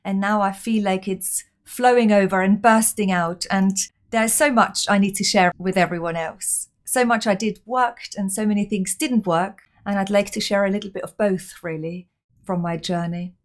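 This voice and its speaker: A woman's voice: mid-range voice, fairly even intonation, moderate pace. Woman telling her story. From Austria. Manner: open, reflective storytelling. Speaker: guest. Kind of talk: podcast interview, personal story.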